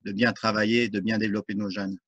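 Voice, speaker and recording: Referee, measured and orderly. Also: male voice, on the low side, level tone, a bit bright, breathy edge, no room sound, lo-fi sound. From France. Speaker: guest, soccer referee and volunteer. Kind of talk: interview answers.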